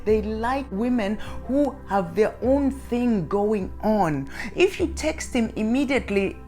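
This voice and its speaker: Female advice coach, middle-aged, very unhurried and instructive, emphatic on key points, music playing behind. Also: on the high side, animated, dark tone. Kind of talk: direct-to-audience advice talk.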